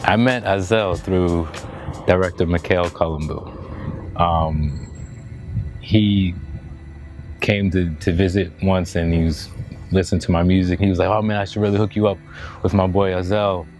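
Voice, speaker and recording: American guy musician chatting in an interview, really low voice, laid back, music playing behind him. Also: steady tone, unhurried, long pauses, a little mellow. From Washington, D.C. area, United States. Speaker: musician and producer in a band. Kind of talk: casual interview answers.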